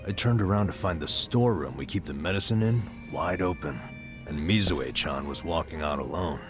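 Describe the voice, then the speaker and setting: A man's voice: deep voice, moderate pace, close to an everyday voice. An actor playing a burned-out, middle-aged former nurse in a video game, telling his story with an American accent and a wry, self-deprecating weariness. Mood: reflective, low-key. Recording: music behind the voice.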